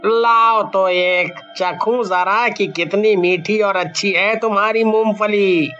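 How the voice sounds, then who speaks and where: Male passer-by, cartoon, actor: exaggerated, slow, bright forceful tone, music bed. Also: high-pitched, fairly even intonation, lo-fi sound, full character voice. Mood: curious, friendly. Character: curious male passer-by, easily indignant. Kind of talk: Hindi animated story dialogue. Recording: music under the voice.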